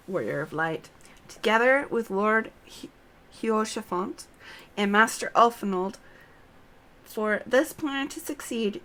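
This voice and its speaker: A woman voicing a resolute female knight commander in a fantasy game, formal and measured as she briefs her troops. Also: medium pitch, fairly bright tone, close to an everyday voice. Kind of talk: read-aloud fantasy game dialogue.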